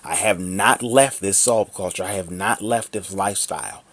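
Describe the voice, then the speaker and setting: A man's voice: deep voice, normal speed, fairly bright tone, dry recording. A man in his thirties ranting angrily to the camera in a vlog, swearing a lot and repeating himself. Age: 30s. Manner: angry, profane, repetitive ranting. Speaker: vlogger.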